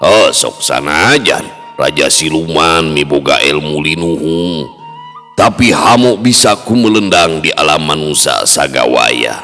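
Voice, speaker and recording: A man voicing a wise, authoritative old jinn king who gives slow, solemn fatherly counsel in a Sundanese tale. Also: very deep voice, lively intonation, bright, forceful tone, full character voice. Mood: trusting, earnest. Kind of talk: Sundanese storytelling character voice. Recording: long hall reverb, music underneath.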